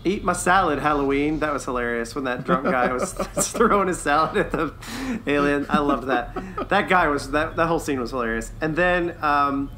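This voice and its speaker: A man's voice: a little high, a crowd in the background. A man co-hosting a review podcast, chatting casually and enthusiastically as a fan. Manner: enthusiastic, quick to chuckle.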